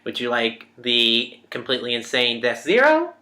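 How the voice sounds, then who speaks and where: A young man with a nasal voice co-hosting a podcast, chatting casually in an animated, dramatic way. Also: medium pitch, normal speed. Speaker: podcast co-host. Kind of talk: casual fan chat.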